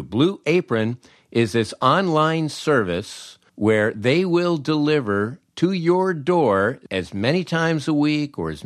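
A man's voice: medium pitch, no room sound, studio quality. An older man hosting a podcast in a chatty, wry, emphatic storytelling manner. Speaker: podcast host and former radio disc jockey. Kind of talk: conversational storytelling and host-read ads.

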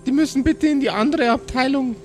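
A man's voice: very high-pitched, a little fast, darkish tone, faint music behind. A man voicing a flustered little childlike cherub messenger who stammers, repeats himself and defers to his boss in an improvised audio drama. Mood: flustered, apologetic.

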